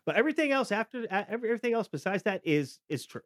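A middle-aged man hosts a podcast, telling stories casually. His voice sits a little high and he often restarts and repeats words. The sound is very dry. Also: very animated, rapid-fire, dry recording. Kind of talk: casual conversational storytelling.

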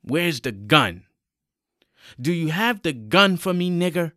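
A man's voice: on the high side, big pitch swings, slow, pushed and bright, no room sound, studio quality, voice pushed up, heavily acted. A man voicing a hostile police officer in a dramatic story, barking threats and commands. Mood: threatening.

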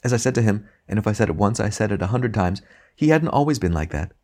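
A man's voice: fairly low pitch, expressive, quick pace, darkish tone. A man voicing the ghost of a domineering wife, complaining and self-righteous in an audiobook reading.

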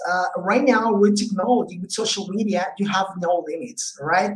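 A man from Colombia with a Colombian Spanish accent, a guest English teacher talking casually with fillers and restarts. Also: sits high. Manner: loose, repetitive, many fillers and restarts. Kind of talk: casual interview talk. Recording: roomy echo.

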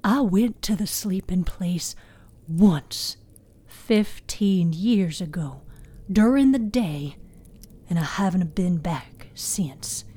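Woman playing a hushed, haunted female story keeper. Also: lowish voice, lively intonation, breathy edge, full character voice. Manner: hushed, deliberate. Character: grave, troubled older woman story keeper, haunted and uncertain.

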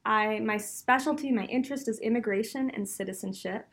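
A woman's voice: on the high side, brisk. A middle-aged woman who grew up between Venezuela and the US, a law professor answering interview questions in a chatty, reflective way, with frequent laughs.

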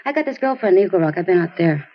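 A woman's voice: fairly low pitch, big pitch swings, racing. A woman playing a flippant, scornful runaway teenage girl in 1950s American radio drama, talking in slang. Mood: dismissive. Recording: dull noisy old radio recording.

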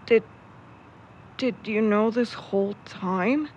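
A woman playing a warm, playful, sentimental young man in a naturalistic audio drama. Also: medium pitch, slow pace, slightly rough, highs cut off. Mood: sheepish.

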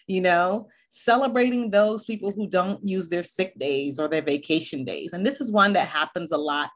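A middle-aged woman school counselor, born in Barbados and raised in Boston, speaking in an earnest, measured way as a podcast guest. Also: on the low side. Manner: earnest, measured, listing points. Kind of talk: podcast interview.

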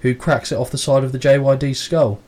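A man's voice: mid-range voice, fairly even intonation, fairly quick, a little mellow, a little hiss or hum. A man co-hosting a podcast, recapping and bantering casually with dry, cheeky humour. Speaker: podcast co-host.

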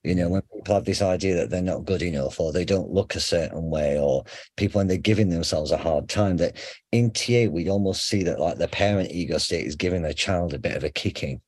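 Deep husky man with a faint Sheffield accent. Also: brisk, a little mellow, dry recording. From England. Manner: conversational, explains with examples, frequent fillers and restarts. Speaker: psychotherapist guest. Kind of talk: casual explanatory interview.

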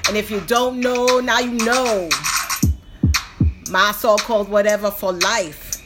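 A woman's voice: mid-range voice, steady tone, slow. A woman rapping a rhythmic theme song. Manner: rhythmic rap delivery. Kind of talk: rapped theme song over music. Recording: loud backing music.

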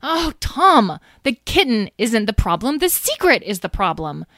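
Woman as young heroine, bold, exasperated, protective, higher voice, children's audio story, dry close recording. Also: sing-song, a little slow, a bit bright, no echo, heavily acted. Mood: exasperated, scolding. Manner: forthright, exclamatory.

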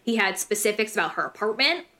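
A woman's voice: mid-range voice, lively intonation, fast talker, bright, forceful tone. A young American woman hosting a true crime podcast, telling the story casually in slangy, irreverent language and swearing freely.

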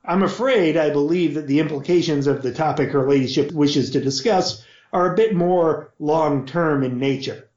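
A man voicing a formal, deferential male butler who speaks in a measured way. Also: a little high, darkish tone, lightly acted. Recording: roomy, dull lo-fi audio.

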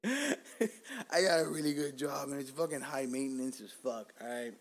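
A man's voice: mid-range voice, moderate pace. Male podcast host, 30s, born in Cuba; loose, profane, joking delivery. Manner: profane, joking, laid-back street talk. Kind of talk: casual podcast chat.

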